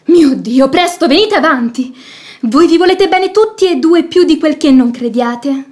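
A woman's voice: sits high, lively intonation, unhurried, a little mellow. An actress playing a bold, meddling maidservant in a classic radio comedy, bossy and emphatic in her scolding. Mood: scolding, reassuring. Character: bold, meddling, sharp-witted maidservant, exasperated peacemaker.

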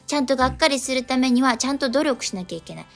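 Higher-voiced female radio host over music. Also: lively intonation, very fast. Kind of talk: reading listener letters and chatting on radio. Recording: music behind the voice. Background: background music.

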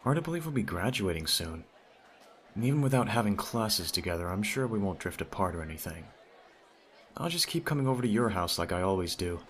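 A man's voice: on the low side, very animated, fairly quick. A man playing a teasing, smitten teenage childhood friend in an ASMR audio roleplay, talking straight to the listener in a conversational way. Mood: playful, fond.

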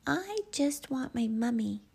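A woman voicing an eager, playful little boy hippo, excitable and childlike, in a children's bedtime story. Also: on the high side, measured pace, creaky, breathy edge, pitched above the performer's own voice, full character voice. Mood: sleepy.

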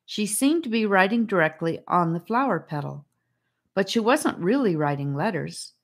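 A woman's voice: mid-range voice, lively intonation, normal speed. A woman reading a children's fantasy adventure as an audiobook narrator.

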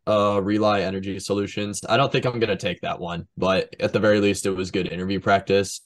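Young man, fairly low pitch, podcast co-host, casual sports commentary. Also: steady tone, brisk.

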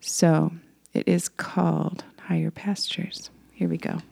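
A singer-songwriter woman, a little raspy today, chatting casually between songs and telling rambling stories with fillers. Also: sits low, little pitch movement, slow, in a whisper. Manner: rambling, warm storytelling with fillers.

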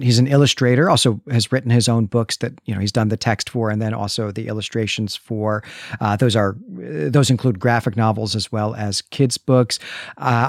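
A man's voice: mid-range voice, no room sound, studio quality. A man co-hosting a podcast, chatting casually in an enthusiastic, conversational way. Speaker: podcast co-host.

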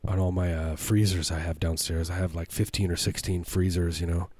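A man with a low baritone voice, co-hosting a podcast and riffing on absurd jokes in a deadpan, rambling way with many fillers. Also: brisk, slight background noise. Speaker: podcast co-host. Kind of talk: deadpan absurd comedy banter.

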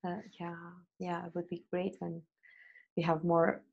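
A woman with a Persian accent and Persian as her first language, chatting in an interview in a halting, hesitant way. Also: fairly low pitch, completely flat delivery, vocal fry, muffled recording. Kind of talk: casual interview conversation.

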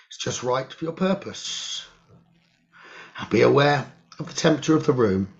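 A man leading a guided meditation in a slow, soothing instructional style, speaking in measured, hushed short phrases with pauses. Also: medium pitch, dull audio.

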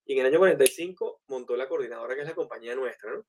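A man telling animated, anecdotal stories in a relaxed conversation. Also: mid-range voice, rapid-fire, slight background noise. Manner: animated, anecdotal, many fillers. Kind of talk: casual storytelling in conversation.

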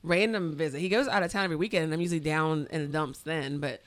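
A woman co-hosting a podcast, blunt and profane, laughing often, with her voice going hoarse. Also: low-pitched, fast talker, slight background noise. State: losing her voice. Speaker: podcast co-host. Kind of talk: crude casual chat. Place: podcast studio. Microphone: studio mic.

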